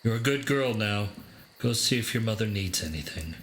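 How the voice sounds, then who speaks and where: A man's voice: fairly low pitch, animated, normal speed, fairly bright tone, a little hiss or hum. A man acting in a radio play as a protective, doting crime-boss father, earnest and paternal. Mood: tender. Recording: faint music bed.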